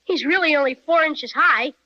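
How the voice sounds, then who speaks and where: A woman voicing an eager, brave young boy, excitable in an old-time way. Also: sits high, moderate pace. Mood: excited. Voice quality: excitable, gee-whiz. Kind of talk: 1930s radio acting. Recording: dull old radio recording.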